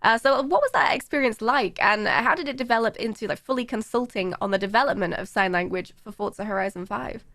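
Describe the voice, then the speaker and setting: An upbeat woman host moves briskly through her questions and affirms her guests. She talks fast. The sound is dry and close, with no room around it. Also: a little high, a bit bright, dry recording. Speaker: show host. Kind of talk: interview hosting.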